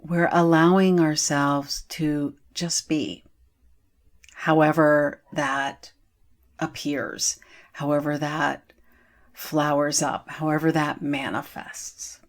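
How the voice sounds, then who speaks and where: A woman with a low voice guides a meditation. She speaks extremely slowly and soothingly. Long pauses separate her short phrases. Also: little pitch movement.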